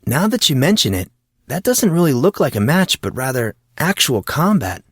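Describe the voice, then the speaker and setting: A man's voice: a little high, big pitch swings, moderate pace, a bit bright, breathy edge, no echo, voice pushed up, full character voice. A guarded teenage boy, puzzled and full of questions, dodging anything personal, as a man reads him. His voice sits raised and swings widely. The sound is dry and close. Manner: questioning, evasive.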